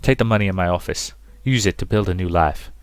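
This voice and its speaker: A man playing a gentle, weary dying father in a visual novel, speaking in a halting, hushed way. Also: low-pitched, clean tone, no echo, lightly acted. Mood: tender, weary. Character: dying gentle father, tender, weary, giving last advice.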